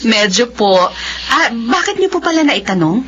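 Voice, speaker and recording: Woman acting a mom in a radio drama, slightly high voice, lo-fi sound. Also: big pitch swings, a little slow, bright, forceful tone, a little hiss or hum, full character voice. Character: devoted working mother, middle-aged.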